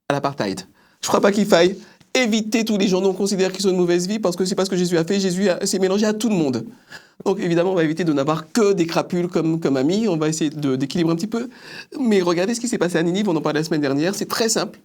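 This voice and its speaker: A man's voice: high voice, a little mellow. A man from France speaking as a panelist in a casual, reflective religious discussion, with educated wording and personal anecdotes. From France, of Antillean family.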